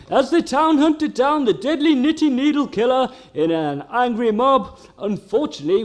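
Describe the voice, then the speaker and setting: Man playing a high-voiced melodramatic serial narrator. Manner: theatrical, mock-dramatic. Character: melodramatic serial narrator teasing next week's episode. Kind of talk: comedic radio serial narration.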